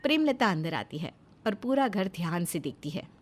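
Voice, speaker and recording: A woman's voice: on the low side, sing-song, moderate pace, a little hiss or hum. A woman narrating a scripted moral story as a storyteller.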